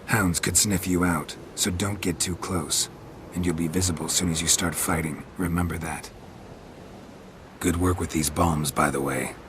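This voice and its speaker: A man playing a calm, seasoned monster hunter in a video game, speaking in a terse, measured, deadpan way. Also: sits low, noisy background, lightly acted. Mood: measured, instructing.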